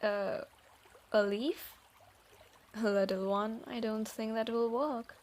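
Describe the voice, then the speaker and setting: A woman playing a guarded, troubled thief in a fantasy audio drama, speaking haltingly and reluctantly softening. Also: fairly high pitch, fairly even intonation. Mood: amused, softened. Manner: hesitant, sighing, halting delivery. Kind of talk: scripted roleplay audio drama.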